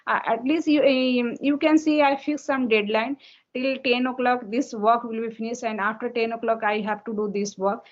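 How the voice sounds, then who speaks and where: A woman in her thirties from India, a native Hindi speaker with an Indian English accent, answering questions in a halting practice conversation full of fillers over an online call. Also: fairly high pitch. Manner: halting, many fillers and restarts. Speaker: English learner, homemaker. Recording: dull lo-fi call audio.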